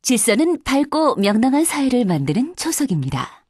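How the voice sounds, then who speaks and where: A woman's voice: sits high, sing-song, moderate pace, darkish tone, breathy edge, no room sound, studio quality. A woman reading formal scripted subway announcements in a polite, carefully enunciated way.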